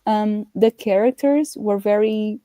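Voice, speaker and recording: A woman chats casually as co-host of a book discussion. Her voice is relaxed and dark-toned at a medium pitch, recorded dry. She phrases things tentatively, often hedging. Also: slow, no echo.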